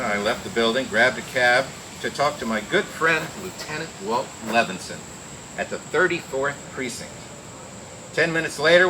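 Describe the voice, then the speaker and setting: A man's voice: medium pitch, natural voice. An American man playing a cocky, wisecracking private detective in a radio drama, with a flippant, hardboiled delivery. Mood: hardboiled narration. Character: wisecracking, cocky private detective, narrating his own case. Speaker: lead actor and narrator. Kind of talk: mid-century radio detective drama acting. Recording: noisy old radio recording.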